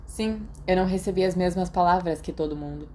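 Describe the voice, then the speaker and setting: A woman's voice: on the low side, brisk, dark tone, close to an everyday voice. A woman voicing a cold, guarded, haughty schoolboy in an audiobook story, with a Brazilian accent. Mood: cold, suspicious. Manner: cold, imperious, suspicious. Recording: noticeable background noise.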